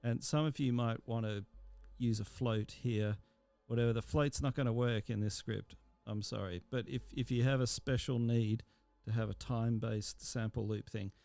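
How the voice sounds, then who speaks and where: A man giving an informal software tutorial as an instructor, explaining step by step in a halting way with pauses mid-sentence. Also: medium pitch, steady tone, vocal fry, no room sound.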